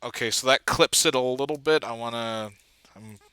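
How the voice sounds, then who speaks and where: A man giving a casual walkthrough as an amateur video editor, thinking aloud haltingly and trailing off. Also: medium pitch, sharp tone, smooth. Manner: halting, trailing off mid-thought.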